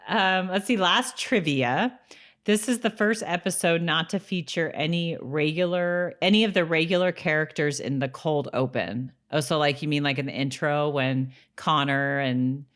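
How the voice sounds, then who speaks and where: A woman's voice: deep voice, moderate pace. A woman co-hosting a podcast, talking in a casual, conversational style. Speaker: podcast co-host. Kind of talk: casual chat about a TV episode.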